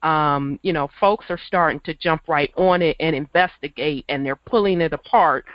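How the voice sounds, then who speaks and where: A man hosting a call-in radio show, hoarse from a cold, talking in a laid-back, chuckling, folksy way. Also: fairly high pitch, moderate pace, no room sound, lo-fi sound. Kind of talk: casual call-in radio chat.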